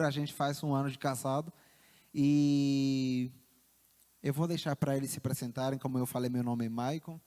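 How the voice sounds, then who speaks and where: A man with a Chilean accent speaks earnestly to a congregation, halting and repeating words as he goes. His voice is mellow and dark, at a middle pitch. The sound carries a long hall reverb. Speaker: missionary. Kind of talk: church address.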